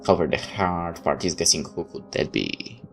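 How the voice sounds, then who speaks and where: Low-voiced man reading a story aloud. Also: expressive, moderate pace, gravelly, faint music behind. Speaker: video game player reading a visual novel aloud. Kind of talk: dramatic first-person story read-aloud.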